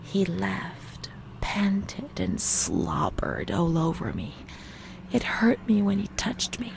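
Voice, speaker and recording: A woman playing a fragile, haunted young former asylum patient in a narrative game, delivering a slow, halting, confessional monologue in a breathy voice that often sinks to a whisper. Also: mid-range voice, huge pitch swings, heavily acted. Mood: traumatized, anguished. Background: music.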